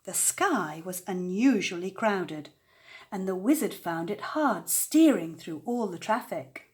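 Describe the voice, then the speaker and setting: An older woman telling a children's story aloud with expressive narration. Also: medium pitch, slow. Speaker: storyteller, grandmother reading aloud.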